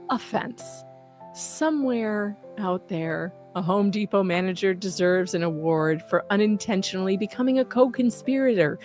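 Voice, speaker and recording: A woman delivers a scripted takedown with theatrical sarcasm and open mockery. Her pitch sits in a medium range for a female voice, and faint music plays underneath her reading. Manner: often laughs while reading. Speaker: host reading an open letter. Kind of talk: sarcastic scripted commentary read aloud.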